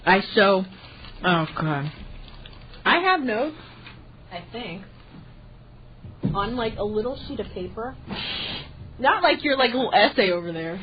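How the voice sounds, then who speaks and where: A young woman co-hosts a radio show with a soft, light voice, trading teasing jokes. Her pitch leaps around animatedly as she talks. The recording is dull and lo-fi, with a clear hiss behind her. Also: big pitch swings, unhurried, long pauses. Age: young adult. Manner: teasing, giggly banter. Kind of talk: casual chat. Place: small radio studio. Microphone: studio mic.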